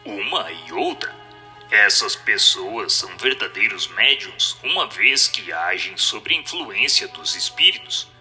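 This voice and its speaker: A man voicing a solemn, assured spirit who answers in a measured, didactic way in an audio drama reading. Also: on the low side, animated, pushed and bright, faint music behind, pitched below the performer's own voice, heavily acted.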